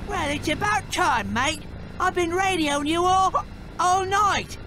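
A man's voice: very high-pitched, takes it slowly, bright, forceful tone, slight background noise, exaggerated voice, low-quality recording. A man playing a shifty, scrappy robot pirate in a video game, with cartoonish pirate talk. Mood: wheedling, chummy. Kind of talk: video game character acting.

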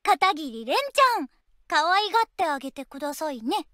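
A woman's voice: sits high, animated, moderate pace, fairly bright tone, no room sound, clean studio sound, soft background music. A woman voice actor giving a promotional greeting to fans.

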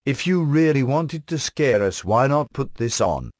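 A man's voice: medium pitch, very animated, measured pace, no echo. A British man narrating a scripted comic review as the host, in a sardonic, dry-humoured manner.

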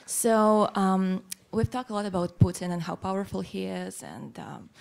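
A woman's voice: medium pitch, lively intonation, moderate pace, creaky, a little mellow. A young woman from Russia, asking a question from the audience in a hesitant way, searching for her words.

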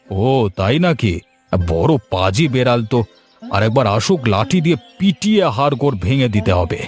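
A man's voice: medium pitch, sing-song, moderate pace, faint music behind, natural voice. A man voicing a demanding, gluttonous middle-aged uncle who blusters as a houseguest in a cartoon story. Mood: threatening.

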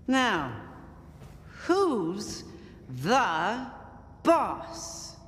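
An actress playing a ruthless, power-hungry villain boss in a video game, with a theatrical, imperious and gloating delivery. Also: female voice, on the high side, big pitch swings, drawn-out words, a bit bright, long reverb tail, exaggerated voice. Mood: smug, gloating. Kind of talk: video game cutscene acting.

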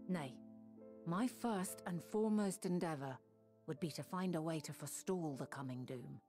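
A woman acting a calm, resolute young noble sage in a fantasy video game, with measured, archaic and formal diction. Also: on the low side, natural voice.

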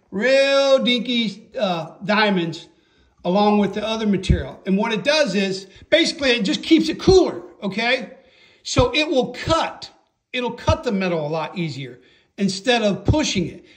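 A man giving a casual hands-on workshop tutorial in a folksy, emphatic, excitable way, often checking in with the listener. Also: sits high. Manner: folksy, emphatic, repeatedly checks with "okay?" and "all right?". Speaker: host, chainsaw sharpening instructor. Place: indoor workshop.

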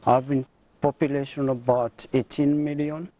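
A man's voice: mid-range voice, flat delivery, normal speed, crowd noise. A man in his twenties from Cameroon, a quiz contestant introducing himself in a TV studio with a lapel mic. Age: 20s.

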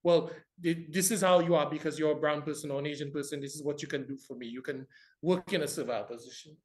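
A man's voice: a little high, steady tone, a little mellow. Reflective Caribbean man, film expert. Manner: expansive, reflective, quick laughs. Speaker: podcast guest, film expert. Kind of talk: casual chat.

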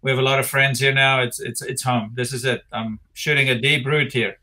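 A bright, clear-toned man in his sixties with a South African accent talks over a video call from a home office. He rambles in a relaxed way, leaning on fillers and restarting his sentences. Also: medium pitch, brisk. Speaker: interview guest, retired tennis player. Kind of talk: casual interview chat. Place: home office with framed pictures.

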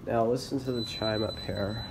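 A man's voice: fairly low pitch, steady tone, vocal fry, background noise. A young man narrating his own handheld walkthrough of a mall elevator, admiring the fixtures and remarking to himself as he goes. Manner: enthusiastic, slow and remarks to himself. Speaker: elevator video channel host. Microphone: handheld phone camera. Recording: echoey elevator with faint ambience.

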